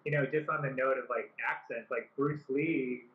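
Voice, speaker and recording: A man's voice: mid-range voice, steady tone, moderate pace. A man talking as a guest film director in an interview, earnest and full of "like" fillers. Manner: earnest, many "like" fillers and restarts. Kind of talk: interview conversation. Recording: echoey, dull-sounding recording.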